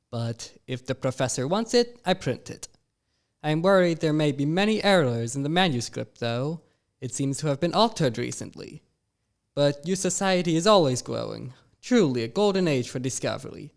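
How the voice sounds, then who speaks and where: Guy playing a fussy old printer, gravelly voice, courtly old-timey talk. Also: on the high side. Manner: courtly, old-fashioned phrasing. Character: fussy old-world male book printer. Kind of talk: amateur audio drama.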